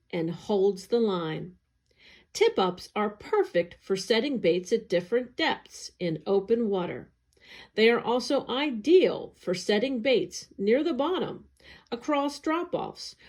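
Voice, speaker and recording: A woman reading an educational newsletter aloud in careful, clearly separated phrases. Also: mid-range voice, darkish tone. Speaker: agency staff reader.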